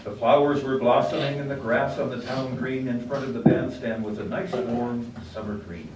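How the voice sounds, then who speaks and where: A man's voice: mid-range voice, close to an everyday voice. A man playing the folksy narrator of a small-town American tale, reading scripted storytelling narration in a live play. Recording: echoey, distant, faintly noisy room.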